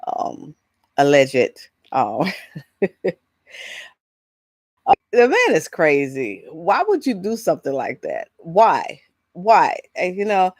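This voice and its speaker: Low-pitched woman vlogging, very dry sound. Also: dry recording. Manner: halting, rambling, interrupts herself with asides; blunt, incredulous rhetorical questions. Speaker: vlog host. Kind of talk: casual opinionated entertainment news commentary.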